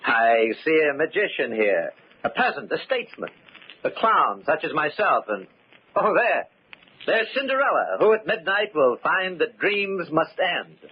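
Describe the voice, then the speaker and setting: An actor playing a grave, formal masquerade host in a 1940s radio mystery, speaking deliberately and dramatically. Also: male voice, a little high, big pitch swings, husky, full character voice. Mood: grand, ceremonious. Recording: muffled, faintly noisy old radio recording.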